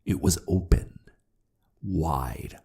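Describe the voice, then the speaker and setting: A man playing a nervous, gleeful murderer who insists he is sane, in a theatrical gothic horror reading, halting and often dropping into whispers. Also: very deep voice, warm tone, exaggerated voice. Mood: hushed menace. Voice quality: gravelly, husky, breathy, often dropping to whispers. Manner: theatrical, halting, with repeated words and drawn-out phrases. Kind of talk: dramatic reading of gothic horror short story.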